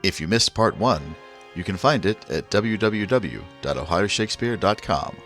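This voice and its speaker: Deep, animated old-time radio announcer man. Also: normal speed, faint music behind. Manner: showy, theatrical announcing. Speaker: radio program host and announcer.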